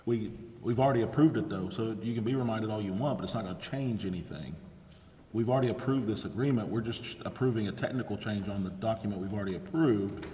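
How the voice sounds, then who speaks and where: A man's voice: lowish voice, fast talker, mellow. A man debating as a council member at a formal meeting, blunt, firm and plain-spoken. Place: council chamber. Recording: echoey noisy hall, long reverb.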